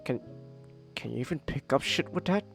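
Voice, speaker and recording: A man co-hosting a casual podcast, excitable and stammering, laughing often and breaking into squeaky character voices. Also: moderate pace, creaky, soft background music. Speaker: podcast co-host. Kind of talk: casual chat.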